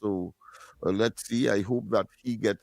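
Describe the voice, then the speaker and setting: A man giving measured radio commentary in formal wording with many fillers. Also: on the low side, steady tone, gravelly, a little mellow, dry recording. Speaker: radio co-host.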